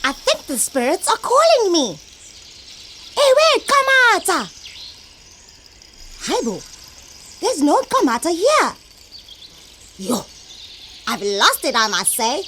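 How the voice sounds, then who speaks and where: Excitable female cartoonish bunny, South African accent, slow swooping delivery, playful, children's audio play. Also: very high voice, background noise, heavily acted. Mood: awed, flustered. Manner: exclaiming, theatrical, playful. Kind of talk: children's musical comedy audio theatre.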